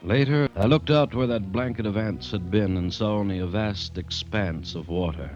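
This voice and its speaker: Male actor as grave storyteller, 1940s radio play, dramatic and measured, dull recording with faint music. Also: fairly low pitch, a little mellow, lightly acted. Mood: solemn. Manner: solemn, dramatic delivery. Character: grave male companion narrating a rescue. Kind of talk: 1930s-1940s American radio drama narration.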